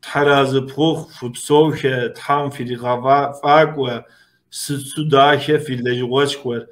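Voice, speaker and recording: Male interview host, unhurried and hesitant, medium pitch, casual, on a video call. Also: steady tone.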